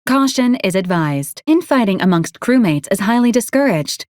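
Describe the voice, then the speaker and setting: Game robot companion, female actress: dutiful, formal, precise, deadpan delivery, mid-pitched, close dry studio sound. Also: normal speed, dry recording, lightly acted. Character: polite, literal-minded robot assistant, female, dry and dutiful. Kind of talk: scripted video game voice lines.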